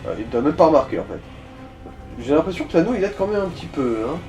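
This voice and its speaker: A man's voice: on the high side, big pitch swings, fast, a little mellow, faint music behind. A man giving casual let's play commentary as he guides the player, in slangy, crude language full of swearing. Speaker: gaming co-commentator guiding the player.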